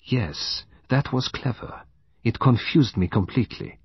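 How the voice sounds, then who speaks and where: Shrewd gentle detective, played by a male reader, measured and courteous, husky voice, slightly dull audiobook recording. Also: mid-range voice, expressive, no echo, voice pushed up, exaggerated voice. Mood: knowing.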